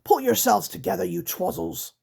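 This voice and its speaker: A woman's voice: mid-range voice, sing-song, normal speed, gravelly, warm tone, husky, pitched above the performer's own voice, heavily acted. A woman voicing Grunt, a grumpy, bossy male night creature who barks his words in broken grammar. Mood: gruff.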